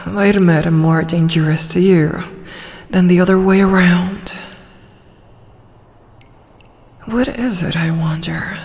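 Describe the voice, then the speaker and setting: A woman playing a huge, possessive, flirty dragoness in an intimate ASMR roleplay, coaxing and teasing softly, often slipping toward a whisper. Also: on the low side. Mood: smitten, teasing. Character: huge tsundere female dragon, possessive, flirty, doting captor. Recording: long cavernous reverb.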